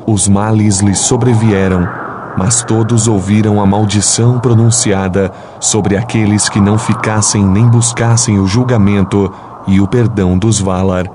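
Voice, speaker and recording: Man, lowish pitch, solemn scripted storytelling, noisy rumbling ambience behind. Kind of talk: solemn literary reading, scripted narration. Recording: clear background noise, ambient rumble.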